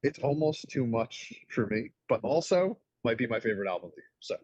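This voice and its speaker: A man with a slightly husky voice chats on a casual podcast and laughs easily. He gives frank opinions in a dry, close recording. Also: medium pitch, expressive, fairly quick, darkish tone, no echo, lo-fi sound. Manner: laughs often, candid opinions. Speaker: podcast co-host.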